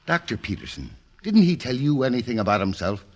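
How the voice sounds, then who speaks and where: An actor playing a blunt, suspicious sheriff, brusque and skeptical, in an old radio drama style. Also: male voice, medium pitch, big pitch swings, brisk, darkish tone. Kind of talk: 1940s radio drama acting.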